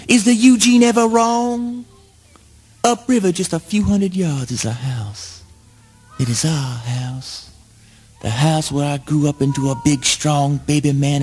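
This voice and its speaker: An actor playing a grandiose, childlike man who dreams of ruling the earth, declaiming a theatrical spoken-word monologue in a deliberate, self-aggrandizing way. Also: fairly high pitch, darkish tone, slightly rough. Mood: imperious, then brooding, boastful. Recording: music under the voice. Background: music.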